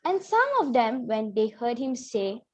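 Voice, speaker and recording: Young woman narrator for a children's tale: high, animated, slightly dull audio. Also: unhurried. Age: teenager or young adult student. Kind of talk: scripted storytelling, readers theater.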